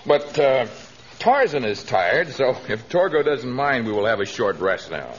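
An actor playing a kindly, protective and resolute jungle hero in an old radio adventure serial. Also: male voice, medium pitch, sing-song, a little slow. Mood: kindly, amused. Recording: dull, noisy vintage radio transcription.

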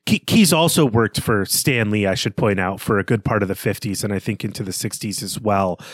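A man's voice: on the low side, a little fast, no room sound. A man co-hosting a podcast, talking through a literary analysis in a thoughtful, emphatic way into a close microphone. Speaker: podcast co-host. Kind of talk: literary discussion, conversational analysis.

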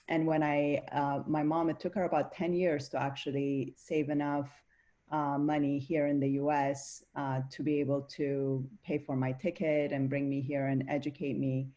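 A woman from Ethiopia talks as an interview guest, thoughtful and unhurried, leaning on frequent fillers as she gathers her words. Her voice is mellow and dark, with a notably even, flat melody. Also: low-pitched. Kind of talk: reflective interview talk.

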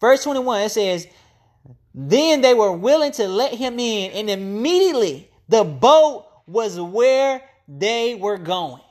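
A woman's voice: fairly high pitch, big pitch swings, slow pace, a little mellow. A woman preacher delivering an impassioned, off-the-cuff sermon, repeating phrases emphatically to build up her point.

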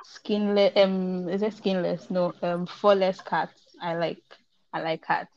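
A woman's voice: fairly low pitch, flat delivery, slow, darkish tone, no echo. A young woman from Nigeria, a podcast guest in casual chat, hesitant with many ums and restarts. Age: young adult. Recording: dull lo-fi audio.

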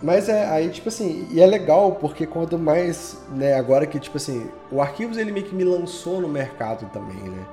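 A man's voice: fairly high pitch, lively intonation, a little fast, hardly any pauses, warm tone, soft background music. A Brazilian man in a casual interview chat, talking as a podcast guest in an enthusiastic, slangy, rambling way. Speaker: podcast guest, audio drama creator and editor.

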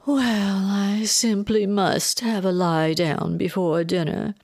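A woman's voice: mid-range voice, steady tone, slow, vocal fry, a little mellow, no echo, studio quality, voice pushed up. A woman voicing a haughty, affected, wealthy socialite mother in a theatrical, swooning manner for a storybook. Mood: affected, condescending.

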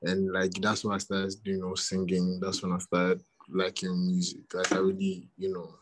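A man in his twenties with a Ghanaian accent, a musician chatting in an interview, relaxed and often repeating himself. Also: sits low, moderate pace, background noise. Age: 20s. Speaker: musician guest. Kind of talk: casual interview chat.

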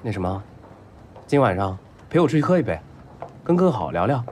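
A man's voice: fairly low pitch, sing-song, brisk, darkish tone, a little hiss or hum. An actor playing a blunt, stubborn, spoiled young rich man in a Chinese audio drama. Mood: eager, brash.

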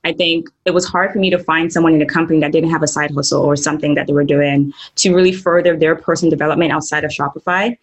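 Low-voiced woman from Nigeria. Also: fairly even intonation, fast, hardly any pauses. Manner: reflective, many fillers like "um" and "like". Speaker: interview guest. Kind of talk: casual interview conversation.

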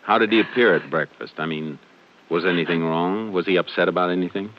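An actor playing a steady, businesslike Texas Ranger investigator in a terse, matter-of-fact 1950s radio drama style. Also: male voice, low voice, fairly quick, lightly acted. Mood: grave, probing. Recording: noisy, muffled old radio recording.

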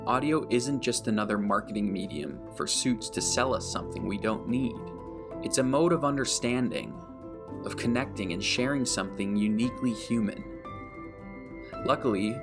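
A man with an average-pitched voice delivers scripted podcast narration. He speaks as the host, addressing listeners directly. Guitar music plays clearly behind his voice.

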